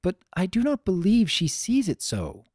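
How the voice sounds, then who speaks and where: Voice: medium pitch, wildly swooping pitch, normal speed, darkish tone, no echo, studio quality, voice pushed up. A narrator voicing a formal, earnest female pony princess in a dramatic story reading, with archaic, stately diction. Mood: thoughtful, scheming.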